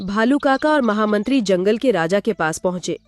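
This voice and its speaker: A woman's voice: mid-range voice, expressive, moderate pace, darkish tone, soft background music. A woman narrating a Hindi cartoon story in a simple storytelling way.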